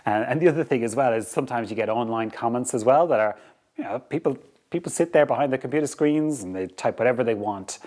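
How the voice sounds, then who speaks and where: A man's voice: medium pitch, expressive, fast, darkish tone. A man with an Irish accent hosting a motivational talk on leadership, earnest and repetitive, often checking in with "okay?". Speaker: podcast host.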